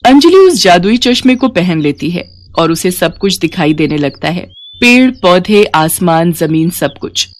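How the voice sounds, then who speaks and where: A woman's voice: lowish voice, animated, measured pace. A woman narrating a scripted moral bedtime story as a storyteller.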